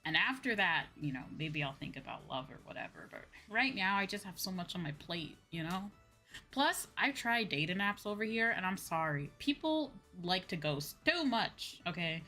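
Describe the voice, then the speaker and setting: Bright, forceful American woman livestreamer. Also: on the low side, moderate pace, faint music behind. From United States. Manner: animated, repeats phrases for emphasis. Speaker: VTuber livestream host. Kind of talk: casual livestream chat with viewers.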